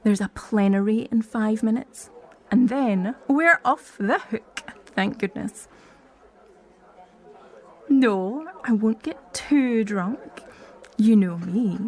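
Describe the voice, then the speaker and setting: A woman's voice: on the high side, takes it slowly, pauses often. An actress playing a prickly, sardonic woman in a naturalistic audio drama, speaking bluntly. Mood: teasing. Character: prickly, sardonic Scottish woman wheelchair user named Elsa.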